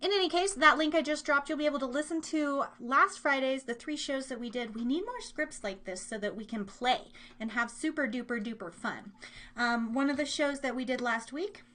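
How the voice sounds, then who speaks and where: Female podcast host, high voice, informal and rambling live chat. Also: normal speed, bright, forceful tone. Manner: informal, rambling, thinking aloud. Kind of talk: casual live show chat.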